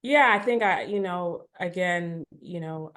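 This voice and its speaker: A woman's voice: lowish voice, measured pace, fairly bright tone. A woman from Africa, a podcast guest, telling her immigration story in a reflective, casual way, full of "you know" asides.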